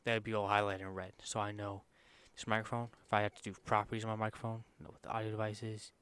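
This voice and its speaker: Soft-spoken man rambling through a tutorial. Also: lowish voice, completely flat delivery, quick pace, clean tone. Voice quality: quiet voice. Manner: rambling, informal, many fillers and self-corrections. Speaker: streamer giving a software tutorial. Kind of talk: casual screen-recorded tutorial.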